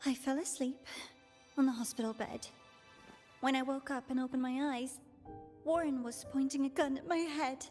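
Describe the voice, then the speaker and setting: A high, girlish villainess played by a woman in a video game, theatrical and teasing, with menace under the playfulness. Her voice rings with long reverb over faint music. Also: steady tone, normal speed, long pauses, darkish tone, lightly acted. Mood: shaken, frail.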